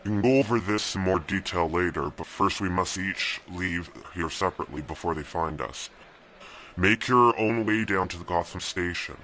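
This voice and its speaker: An actor playing a newlywed young man planning an escape from a dystopian regime, talking calmly and giving steady instructions in an amateur radio drama. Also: low voice, normal speed, a little hiss or hum. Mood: instructing.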